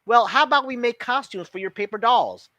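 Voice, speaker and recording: A man's voice: very high voice, brisk, bright, forceful tone, no echo, pitched above the performer's own voice. A man voicing a feisty, stubborn young girl in a children's storybook. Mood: coaxing.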